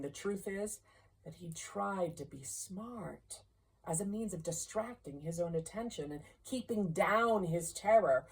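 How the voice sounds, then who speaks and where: A woman narrates a literary story expressively at an unhurried pace. Her voice is on the low side and sits some way back from the microphone. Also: a little mellow, husky, recorded from a distance, poor audio. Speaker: story reader.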